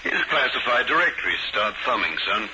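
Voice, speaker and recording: An actor playing a detective's genial, teasing pal in a 1950s radio drama, jaunty and drily sarcastic. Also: male voice, on the low side, sing-song, a little fast. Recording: very noisy old 1950s radio recording.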